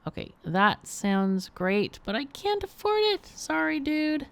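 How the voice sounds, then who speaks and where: A woman's voice: high-pitched, very animated, takes it slowly, creaky, fairly bright tone. A woman hosting a game livestream, chatting casually and thinking aloud as she plays. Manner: thinks aloud while playing. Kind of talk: casual gameplay chat.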